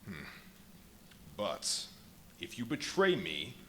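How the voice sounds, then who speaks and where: A desperate invisible man, domineering and theatrical. His delivery swings dramatically in pitch as he commands and threatens, in a live radio play with light background noise. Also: wildly swooping pitch, slow pace, fairly bright tone, heavily acted. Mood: menacing, commanding. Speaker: stage radio play actor. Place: live performance at a brewery venue. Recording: noisy live stage recording with ambience.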